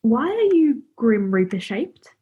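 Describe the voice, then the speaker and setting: A woman's voice: a little high, very animated, measured pace, dark tone, husky, muffled recording, heavily acted. A woman acting a chatty, cheeky, friendly character in a radio play, speaking informally and forthrightly. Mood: curious. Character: Laura, chatty, cheeky, friendly young woman befriending Death.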